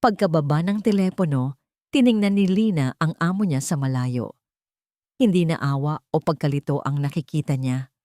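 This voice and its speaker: A woman's voice: low voice, normal speed, warm tone, no room sound, studio quality. A woman narrator reading a scripted, dramatic story.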